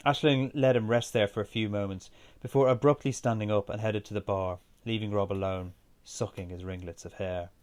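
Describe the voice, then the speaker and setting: Low-voiced man reading a story. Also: fairly even intonation, fairly quick, darkish tone. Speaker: author reading his own story. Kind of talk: scripted literary narration.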